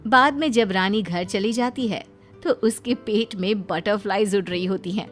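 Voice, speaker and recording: A woman's voice: mid-range voice, normal speed, faint music behind. A woman narrator reading a scripted family moral story for a cartoon video.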